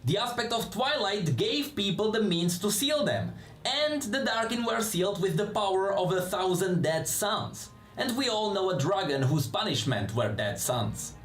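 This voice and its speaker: A man's voice: high voice, sing-song, normal speed, a bit bright, faint music behind. A man giving a dramatic scripted narration of fantasy lore.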